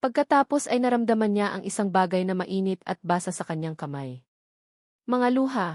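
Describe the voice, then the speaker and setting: A woman's voice: medium pitch, expressive, a little fast, darkish tone, no echo, clean studio sound. A woman narrating a romantic drama story in a scripted audiobook style.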